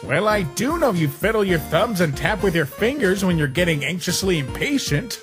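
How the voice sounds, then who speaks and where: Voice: mid-range voice, very animated, normal speed, lightly acted. A performer voicing an exasperated, fussy male skeleton in a cartoon comic dub, scolding in a dramatic manner. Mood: pleading, offended. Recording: voice over loud music.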